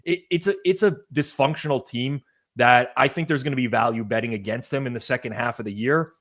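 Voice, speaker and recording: A man's voice: mid-range voice, a little fast, a bit bright. A man hosting a sports betting discussion, talking casually with restarts and dry asides. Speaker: betting show host.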